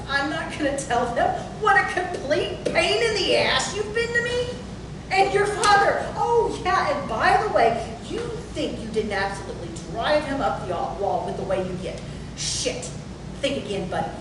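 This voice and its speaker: A woman's voice: big pitch swings, moderate pace. A woman playing a blistering, domineering company boss in a stage play table reading, scolding with profane, bitter sarcasm. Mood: scathing, mocking. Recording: echoey room, distant mic, noisy rumble.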